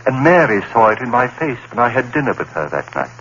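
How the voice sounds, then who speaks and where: Vintage radio drama: a haunted academic, bright and lively voice, dull hissy broadcast sound. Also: male voice, medium pitch, a little fast. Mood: brooding. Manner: dramatic first-person narration, brooding. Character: haunted male professor, rational and frightened. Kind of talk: 1940s radio acting. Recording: dull old radio broadcast with faint hiss.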